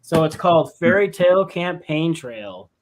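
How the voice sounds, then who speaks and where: Chill guy cohosting, bit high voice, lots of noise on his line. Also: very animated, moderate pace. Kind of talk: casual chat.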